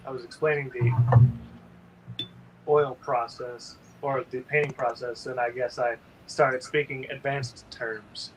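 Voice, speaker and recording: A man's voice: mid-range voice, flat delivery, unhurried, fairly bright tone, slight background noise, low-quality recording. A man hosting a casual painting livestream, rambling and sleepy with lots of ums.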